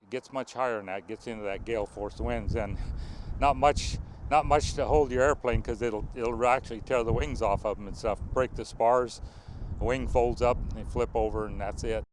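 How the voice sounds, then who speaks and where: A man's voice: mid-range voice, steady tone, moderate pace, slight background noise, low-quality recording. A man speaking casually as an expert being interviewed, in plain unscripted remarks.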